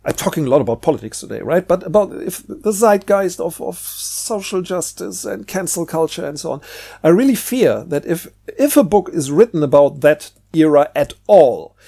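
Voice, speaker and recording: A man giving a casual, rambling book review in a halting way, often repeating words. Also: fairly high pitch, very animated, gravelly, warm tone, husky.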